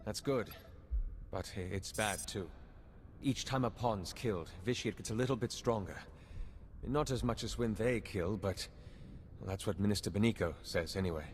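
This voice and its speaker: An actor playing an earnest, plain-spoken undercover agent in a video game. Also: male voice, lowish voice, moderate pace, close to an everyday voice. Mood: concerned. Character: earnest male undercover intelligence agent in space war. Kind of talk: dramatic video game dialogue. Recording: long reverb, background hum and ambience.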